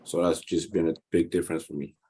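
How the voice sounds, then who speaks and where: A man's voice: very deep voice, little pitch movement, quick pace, mellow, slight background noise. A young man from Cameroon, a student, speaking plainly and reflectively in a class discussion.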